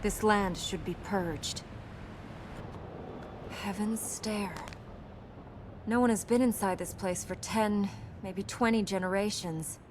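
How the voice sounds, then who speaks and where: An actress playing a serious, resolute young princess in a video game, measured and matter-of-fact. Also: female voice, mid-range voice, lightly acted. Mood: solemn. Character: solemn, resolute young princess guiding an adventurer. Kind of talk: video game character acting. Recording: noisy game capture with ambience.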